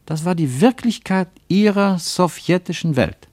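An actor narrating a literary radio play as a grave, reflective storyteller in a measured manner. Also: male voice, high voice, lively intonation, a little mellow, dry recording. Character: grave, reflective male storyteller of a travel tale.

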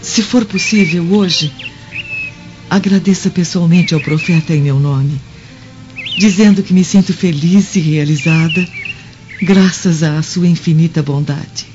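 A woman playing a gracious, devout Roman noblewoman in a radio drama, speaking politely, formally and gently. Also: fairly low pitch, unhurried, over music, lightly acted. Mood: grateful, reverent. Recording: dull lo-fi radio drama recording.

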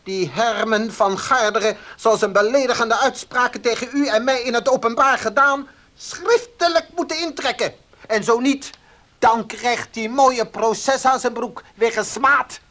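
A man's voice: extremely high pitch, a little slow, sharp tone, exaggerated voice. An actor playing a pushy, indignant village baker in a radio play, insistent and bossy in his delivery. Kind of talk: Dutch radio play acting.

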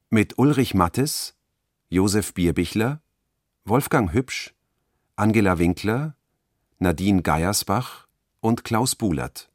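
A man's voice: deep voice, no room sound, clean studio sound. A man reading scripted credits as a radio drama announcer.

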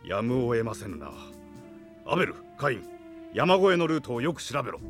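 Stern old knight commander, expressive. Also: male voice, mid-range voice, fairly quick, faint music behind. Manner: archaic, authoritative speech. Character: stern, loyal older male knight commander. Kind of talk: Japanese radio drama acting.

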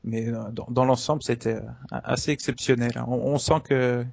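A man's voice: mid-range voice, steady tone, brisk, gravelly, a little mellow, no echo. A man with a southwestern French accent, a podcast guest who speaks hesitantly and repeats words as he restarts. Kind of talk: casual podcast chat.

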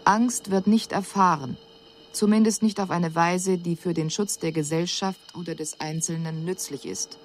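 A woman plays an alien envoy reporting on humankind in a radio drama. The voice is fairly low, with sober, precise and formal diction and an analytical detachment. The recording has a slight hiss. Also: steady tone, moderate pace, lightly acted. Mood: detached, sober. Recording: faint hiss on 1980s radio production.

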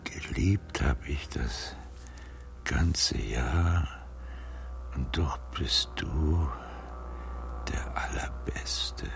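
An actor playing a frail, wry old man in a radio drama, muttering to himself in a gravelly, breathy whisper as he reads aloud. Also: rumbling low, full character voice. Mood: musing. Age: older.

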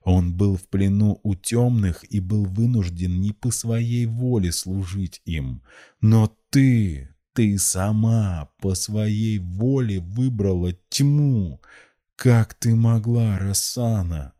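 Man acting a slow, anguished old grandfather. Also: fairly low pitch, mellow, voice pushed up. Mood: anguished reproach. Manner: slow, pained, halting speech.

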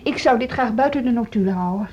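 An actress playing a principled, polite but firm music teacher in a Dutch radio drama, hesitant yet insistent. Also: female voice, a little high, quick pace, darkish tone, slightly rough, muffled recording. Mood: reluctant, firm.

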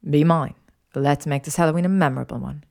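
Woman doing a smug young guy in an audiobook, low n drawly, bit creaky, easily stung. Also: fairly quick, darkish tone. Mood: tender. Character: cocky, easily stung young man, teasing lover. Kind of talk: fan fiction audiobook dialogue.

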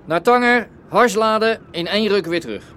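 An actor playing a slick smuggler who talks someone into joining a voyage. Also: male voice, high voice, normal speed, a bit bright, a little hiss or hum. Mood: persuasive. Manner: smooth-talking, persuasive. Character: slick male smuggler recruiting a crewman. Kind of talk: radio drama acting.

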